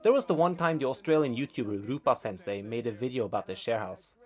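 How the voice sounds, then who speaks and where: A man's voice: medium pitch, quick pace, faint music behind. Wry young man narrating a vlog. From Norway. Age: young adult. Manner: dry, wry, deadpan humor. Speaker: vlogger narrating his life. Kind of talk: scripted voice-over narration.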